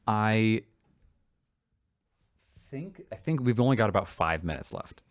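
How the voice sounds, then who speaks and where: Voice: medium pitch, sing-song, a little slow, dry recording, studio quality. A podcast co-host in a casual creative brainstorming chat, talking enthusiastically and often doubling back on words.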